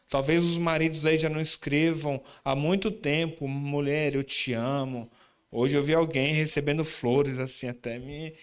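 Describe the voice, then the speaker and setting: Smooth-voiced preacher, casual and chatty. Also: male voice, a little high. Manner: warm, informal, chatty asides with the congregation. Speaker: visiting preacher leading a student group. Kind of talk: sermon. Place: church sanctuary.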